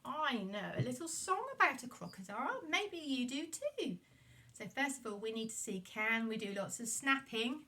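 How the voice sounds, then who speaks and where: A woman telling a children's puppet story. Her voice is high and bright, with animated delivery, recorded at some distance from the microphone. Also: normal speed.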